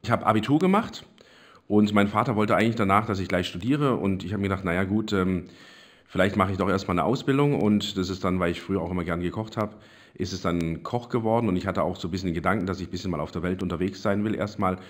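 Man, cooking teacher, from southwest Germany, low voice, relaxed, rambling. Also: fast talker. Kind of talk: interview, life story.

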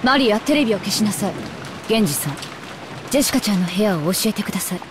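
A woman's voice: medium pitch, lively intonation, rapid-fire, close to an everyday voice. A woman playing a stern, coldly dignified mother in an anime visual novel, speaking in a formal, authoritative way. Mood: commanding. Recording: clear rain noise behind.

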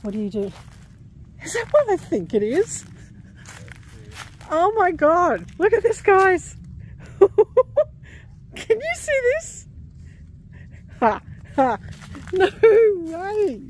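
A woman exploring outdoors, giving excitable commentary with frequent laughs and gasps. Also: very high-pitched, extremely slow, long pauses, slight background noise. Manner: excitable, laughs often, breaks into gasps and whispers. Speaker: vlogger exploring abandoned places. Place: outdoors on an overgrown rural property.

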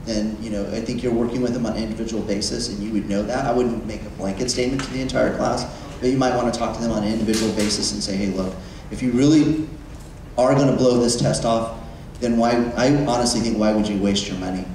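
A male school administrator talking casually to staff, with easy fillers and a relaxed pace. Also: on the low side, fast, a little hiss or hum. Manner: relaxed, conversational, frequent fillers. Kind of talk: staff meeting presentation. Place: large auditorium. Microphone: distant room microphone. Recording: echoey hall, recorded from far off.

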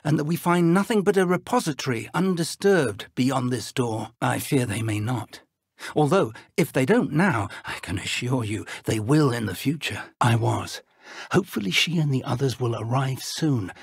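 An elderly wizard professor from a fantasy video game. He is earnest and resolute, with a husky voice that moves animatedly up and down. His phrasing is formal and urgent, and the recording is dry and close. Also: medium pitch, lively intonation, normal speed, no echo. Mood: grave, focused. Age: older. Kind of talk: video game character dialogue.

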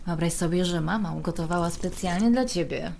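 A woman's voice: on the low side, fairly quick, vocal fry, a little mellow, a little hiss or hum. An actress playing a caring, outspoken woman friend in a naturalistic radio drama, frank and colloquial. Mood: caring, chatty.

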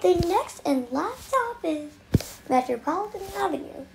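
A woman serves as a train announcer, with a very high voice that rises and falls in a lively way. She reads scripted station announcements in set, clipped phrases, slowly and over audible noise. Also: vocal fry. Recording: noisy recorded train announcements.

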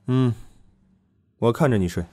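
A young man acting as a calm, firm, protective lover in an audio drama, with a husky voice. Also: mid-range voice, a little slow, close to an everyday voice. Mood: firm, tender.

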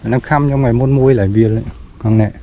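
A man's voice: mid-range voice, steady tone, fast, muffled recording. A man from Cambodia chatting casually with companions while fishing, recorded outdoors on a camera. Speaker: angler in a fishing vlog. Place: open grassy field and trees, outdoors. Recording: noisy outdoor field recording.

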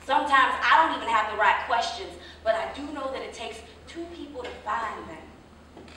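A woman's voice: on the high side, animated, moderate pace, sharp tone. A woman acting a frustrated, practical young working-class mother in a staged drama reading, speaking emphatically in vernacular with pointed questions. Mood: weary, loving. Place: live staged reading, recorded from a distance indoors. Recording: echoey room, distant mic, faint noise.

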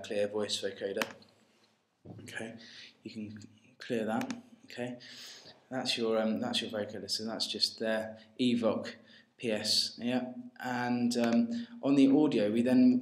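A man giving an informal, hesitant step-by-step software tutorial as a music technology tutor. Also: lowish voice. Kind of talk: screencast tutorial narration. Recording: roomy, faint noise with mouse clicks.

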